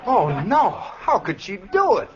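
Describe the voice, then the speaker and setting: An actor playing an earnest, anxious, overprotective husband in 1940s radio comedy, melodramatic and stammering. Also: male voice, sits high, wildly swooping pitch, measured pace, a crowd in the background, noisy background, full character voice. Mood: horrified. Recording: dull old radio recording.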